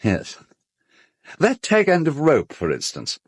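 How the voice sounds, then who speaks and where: A man's voice: fairly high pitch, huge pitch swings, slow pace, husky, dry recording, studio quality, heavily acted. A man acting in a radio drama as a suave collector fondly showing off morbid relics, with urbane, hesitant asides. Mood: urbane relish.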